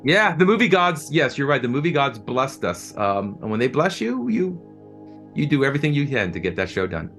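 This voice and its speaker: A man's voice: mid-range voice, sing-song, brisk, a bit bright, soft background music. A man being interviewed as a producer, talking earnestly and enthusiastically, often repeating words as he searches for his phrasing. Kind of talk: reflective interview talk.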